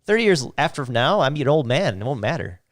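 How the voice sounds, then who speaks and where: A man's voice: mid-range voice, sing-song, fairly quick, no room sound, clean studio sound. An American man hosting a podcast, chatting and bantering casually. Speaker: podcast host.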